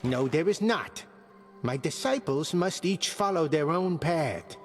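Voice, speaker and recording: An actor playing a gruff, joking male skeleton commander who trains fighters and mixes lofty old words with slang, delivered deadpan. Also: a little high, a little slow, long reverb tail, faint music behind. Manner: deadpan jokes mixing archaic and slang words. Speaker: combat trainer.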